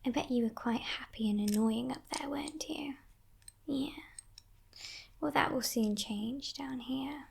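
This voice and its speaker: A woman acts out a sly demon kidnapper, a female captor in an ASMR roleplay. Her voice is a breathy, mostly whispered murmur with crackling fry, somewhat high for a woman. She takes her time, teasing and condescending, every coaxing line carrying quiet menace. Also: full character voice. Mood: disdainful, teasing. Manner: slow, teasing, condescending, softly threatening.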